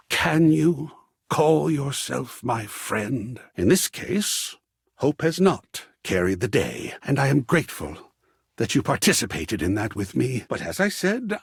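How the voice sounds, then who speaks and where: Husky, wildly expressive theatrical artist. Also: male voice, a little high, dry recording, studio quality, heavily acted. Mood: tender, hopeful, then pained, resigned. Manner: florid, dramatic, formal phrasing. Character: effusive, sensitive, theatrical male artist, an art-obsessed optimist. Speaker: voice actor playing a video game character. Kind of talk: theatrical video game character dialogue.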